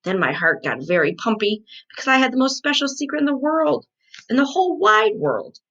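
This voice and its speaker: A woman's voice: on the high side, big pitch swings, brisk, a bit bright, muffled recording, pitched above the performer's own voice, exaggerated voice. A woman voicing a chatty, indignant kindergarten girl from a storybook, with emphatic childish phrasing.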